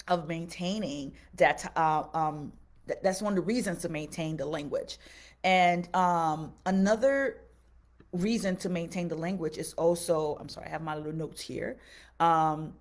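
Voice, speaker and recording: A woman's voice: on the low side, a little mellow. A woman from Haiti, a native Haitian Creole speaker, giving casual advice as a teacher on a live stream, earnest and repeating phrases for emphasis.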